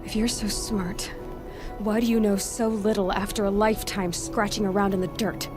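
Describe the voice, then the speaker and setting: Woman doing a blunt young huntress, sharp tongue, no patience, game music behind. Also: medium pitch, level tone, normal speed, lightly acted. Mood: defiant. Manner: direct, sharp-tongued, frustrated. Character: fierce young woman hunter, blunt and defiant. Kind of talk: video game cutscene acting. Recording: game audio with music underneath.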